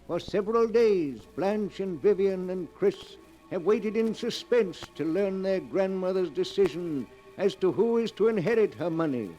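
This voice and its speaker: Old radio narrator guy, high-ish mellow voice, music under him. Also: animated, unhurried, low-quality recording.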